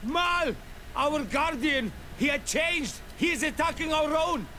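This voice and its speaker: A woman's voice: high voice, expressive, measured pace, pushed and bright, slight background noise, exaggerated voice. An actress playing an alarmed native woman warrior calling out warnings in a video game. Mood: alarmed, urgent. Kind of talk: video game combat acting. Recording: game audio with faint music.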